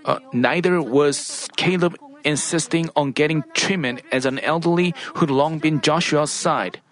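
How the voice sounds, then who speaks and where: A preacher delivers a sermon in a voice of ordinary, middle pitch. The pace is deliberate and measured, and the speaker often stops to restate or correct a phrase before going on.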